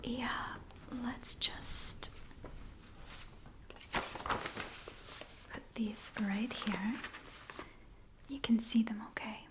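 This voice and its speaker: A woman speaks in a hushed, breathy whisper at a slow, unhurried pace. She performs a soft-spoken ASMR roleplay as a biographer, talking gently and attentively straight to the listener as if to a client. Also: fairly high pitch, fairly even intonation.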